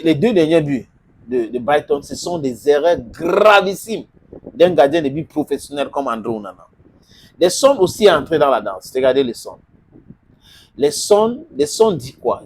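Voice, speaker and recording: A man's voice: fairly high pitch, huge pitch swings, moderate pace, vocal fry, a little hiss or hum. A man, giving a football opinion monologue and scolding a player directly as if lecturing him. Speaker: sports commentator.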